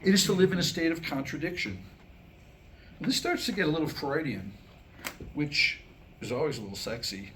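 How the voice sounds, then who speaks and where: American man, slightly high voice, staged comedic monologue, wry, self-deprecating, deliberate pauses, faint room noise, live reading. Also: fairly bright tone. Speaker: writer and performer reading his own new comic script. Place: live staged reading before a small audience. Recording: noisy live room recording.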